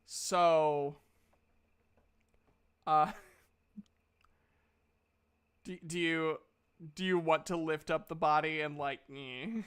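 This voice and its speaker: A man running a tabletop game as game master, improvising descriptions haltingly as he searches for words. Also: fairly high pitch, steady tone, a bit bright, clear, resonant tone.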